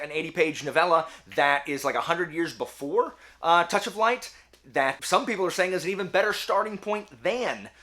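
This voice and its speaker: A man talking casually as the host of a book review channel, with a slangy, self-deprecating, animated manner. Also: on the high side. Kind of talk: casual chatty monologue to camera.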